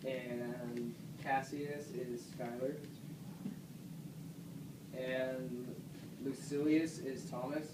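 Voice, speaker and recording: A man reads scripted narration for a student puppet show at a middle pitch. He is some way from the microphone in an echoey room. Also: level tone, very slow, pauses often. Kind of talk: scripted narration, reading stage directions. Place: classroom, voice from behind a puppet stage. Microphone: far camera. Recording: echoey classroom, distant mic, clear noise.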